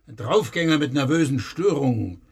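An actor playing a blunt, gossipy, smug surgeon in a radio play, speaking in a brusque, dismissive way. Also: male voice, mid-range voice, expressive, normal speed, creaky, breathy edge, heavily acted.

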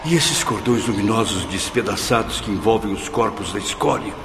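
A man playing an anguished, exhausted and proud lost soul in a radio drama, with a gravelly voice. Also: fairly low pitch, expressive, moderate pace, noisy background. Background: music.

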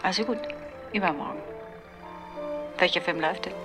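Actress doing young woman, quick witted, dry, lowish voice, cool and teasing, radio play w/ music. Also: lightly acted. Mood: teasing, reserved. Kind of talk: naturalistic radio drama acting.

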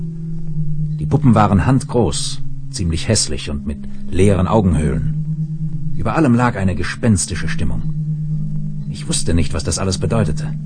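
An actor playing an authoritative space administrator, formal and measured, telling his story in a 1970s radio play. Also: low-pitched. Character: grave, authoritative male space administrator narrating his adventure. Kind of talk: dramatised narration, 1970s German radio play.

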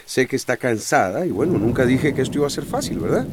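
An actor playing a resolute, caring mayor who speaks insistently and persuasively in a radio drama. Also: male voice, medium pitch, sing-song, quick pace, close to an everyday voice. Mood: coaxing. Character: resolute, caring male mayor of a storm-threatened town. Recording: noisy rain and vehicle ambience.

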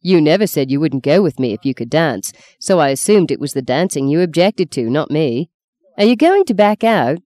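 A woman's voice: sits low, sing-song, normal speed, mellow. A woman voicing a charming, cocky young stockman who talks in a teasing, self-assured way.

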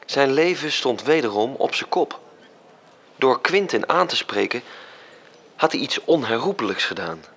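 An actor playing a measured storyteller, reading scripted literary narration for a radio drama. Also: male voice, medium pitch, very animated, fairly bright tone, full character voice. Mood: reflective. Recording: music under the voice. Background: music.

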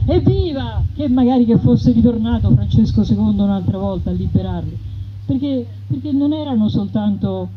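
A woman's voice: a little high, lightly acted. A woman voicing a plainspoken, bitter peasant woman bandit, telling her story in an earthy, emphatic way. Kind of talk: theatrical first-person storytelling. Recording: dull radio sound with background noise.

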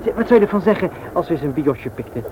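An actor in a radio play playing a hesitant but eager male suitor with a halting manner. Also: on the high side, animated, natural voice. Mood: awkwardly eager. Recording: dull, noisy old radio recording.

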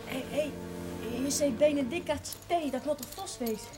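Actress as a high-voiced, naive Dutch girl. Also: moderate pace, over music, natural voice. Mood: candid, plucky. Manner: childlike, candid, rambling, breaks into whispers. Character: naive, plucky pregnant girl from a poor family. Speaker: actress in television drama. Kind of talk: naturalistic 1970s television drama acting. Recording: faintly noisy 1970s television soundtrack.

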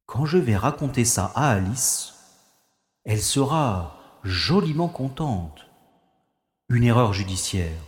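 A man acting as an affable but fussy bureaucrat running a prison, in a radio play. His delivery is theatrical and swooping, formal and gently condescending, in a dry, close sound with an unhurried pace. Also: mid-range voice, breathy edge, no room sound. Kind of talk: French audio drama acting.

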